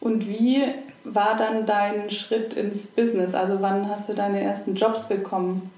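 Mellow woman host in an echoey room. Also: mid-range voice, level tone, measured pace. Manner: brief, attentive backchannels. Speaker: podcast host. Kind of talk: interview questions.

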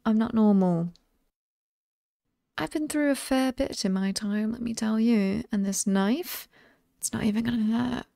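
A woman plays a British slasher killer who is oddly sweet and apologetic. Her voice is creaky and close to the mic in a dry space. She chats wryly, as if confiding to one listener in an ASMR roleplay. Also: on the high side, measured pace, long pauses, mellow, dry recording. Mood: wry, instructive. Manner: conversational, wry, hesitant asides. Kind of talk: ASMR villain roleplay monologue.

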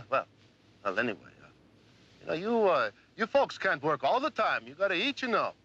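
An actor playing a jovial, awkward middle-aged farm boss in a television period drama, folksy and hesitant. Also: male voice, fairly high pitch, big pitch swings, normal speed, pushed and bright, breathy edge, full character voice. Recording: dull old TV soundtrack.

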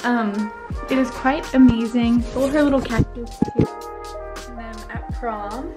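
A woman in her twenties, talking casually and excitedly to a handheld camera while touring a rental house. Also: a little high. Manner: bubbly, excited, filler-heavy. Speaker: travel vlogger. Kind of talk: casual vlog chat. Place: rental house indoors and forest outdoors. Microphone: handheld camera at arm's length.

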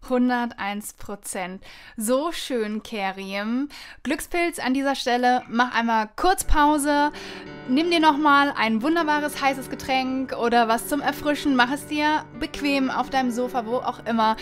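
A woman's voice: sits high, measured pace, faint music behind. A woman hosting a conversational podcast interview in an enthusiastic, encouraging manner. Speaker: podcast host.

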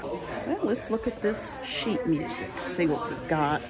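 An older woman with a Texan accent, talking in a lively, up-and-down voice as she browses and films on her phone. She exclaims over finds and chats along the way. Other shoppers chatter loudly in the room around her. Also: lowish voice, unhurried. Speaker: thrift vlog host. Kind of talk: casual chat while shopping. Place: estate sale indoors, other shoppers around. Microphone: phone camera held by hand. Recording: busy room with crowd chatter.

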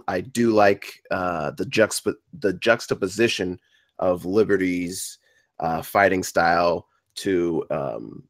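A man giving informal feedback to a group, halting and hesitant, often repeating his words. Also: low voice, no room sound, studio quality. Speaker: table-read participant giving feedback.

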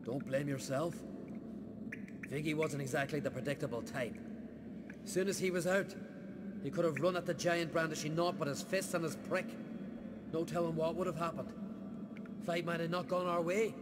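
A man's voice: on the high side, steady tone, moderate pace, long pauses, very noisy, close to an everyday voice. A man playing a hearty, blunt warrior leader in a video game, with earthy, colloquial speech. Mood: rueful, grateful. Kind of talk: video game cutscene acting. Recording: loud music behind.

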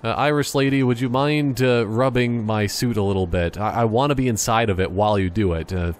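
A man's voice: lowish voice, a little fast, slight background noise. A man giving casual, jokey gameplay commentary, thinking aloud and wandering off on tangents. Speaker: gaming YouTuber.

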